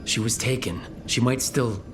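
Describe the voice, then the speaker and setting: An actor voices a steady, earnest fantasy game hero. His mid-range voice is measured and resolute, like a leader carrying a burden, with clear music underneath. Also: medium pitch, natural voice. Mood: sombre resolve. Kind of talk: dramatic video game acting. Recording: music behind.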